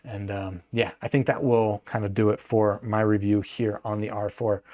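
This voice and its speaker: A man's voice: lowish voice, little pitch movement, a little slow, darkish tone. A man giving a casual, off-the-cuff product review in a conversational, opinionated way, adding asides as he goes. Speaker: audio gear reviewer and video host.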